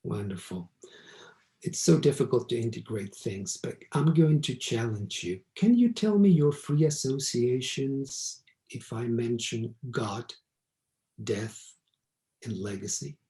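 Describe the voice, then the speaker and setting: Man interviewer, soft and affirming, very slow delivery, roomy echo. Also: medium pitch, animated. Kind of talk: interview questions and backchannel. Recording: echoey room.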